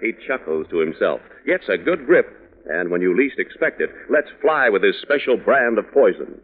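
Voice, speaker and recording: Male radio pitchman, mid voice, very lively intonation, chummy and folksy, muffled vintage broadcast. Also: moderate pace. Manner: chummy, folksy, addressing youngsters. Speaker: radio announcer, sponsor pitchman. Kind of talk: 1940s radio commercial patter. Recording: muffled old radio recording.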